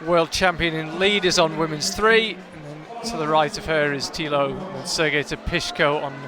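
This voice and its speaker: A man with a fairly high voice calls a climbing competition live, chatty and analytical. He often restarts his sentences as he works through the moves. A loud crowd fills the big hall behind him. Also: steady tone, moderate pace. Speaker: climbing commentator. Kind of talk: live sports commentary.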